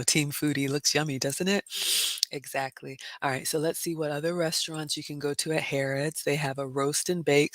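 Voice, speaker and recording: A woman with a low, raspy, smoky voice, chatting casually on a livestream and reading out viewer comments. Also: fairly bright tone, no room sound. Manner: chatty, says "y'all" and "you all" often. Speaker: livestream host.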